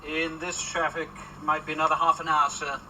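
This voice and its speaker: A man's voice: fairly high pitch, level tone, fairly quick, bright, forceful tone. An actor playing a deferential male chauffeur in a radio drama. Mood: calm, hesitant. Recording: distant voice in noisy vehicle.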